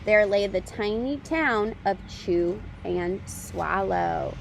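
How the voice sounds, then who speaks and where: A woman reading a children's picture book aloud as a storytime reader, with expressive, deliberate phrasing for young listeners. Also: medium pitch, slight background noise, poor audio.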